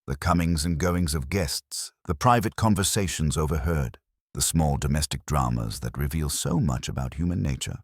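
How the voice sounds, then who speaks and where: A man reading the part of a fastidious detective, speaking in a precise, probing, formal way. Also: a bass voice, breathy edge, no echo, clean studio sound, heavily acted. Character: fastidious Belgian male detective, middle-aged.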